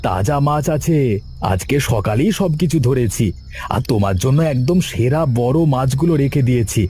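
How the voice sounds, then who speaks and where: A man's voice: mid-range voice, animated, moderate pace, mellow, a little hiss or hum, lightly acted. A man playing a humble, loyal village fisherman in a cartoon, speaking earnestly and plainly. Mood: grateful, devoted. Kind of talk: Bengali horror cartoon voice acting.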